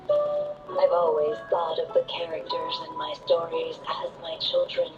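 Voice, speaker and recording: A tender motherly witch from a fantasy game, speaking slowly and solemnly like a storyteller at a farewell. Music plays behind her, and the sound is a little dull. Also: low-pitched, monotone, roomy sound, recorded from a distance. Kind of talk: dramatic video game voice acting.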